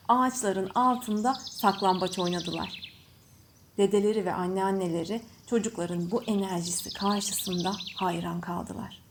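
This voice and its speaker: A woman storyteller reading a scripted children's bedtime story in measured phrases. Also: medium pitch. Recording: faint background noise with birdsong. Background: birds chirping.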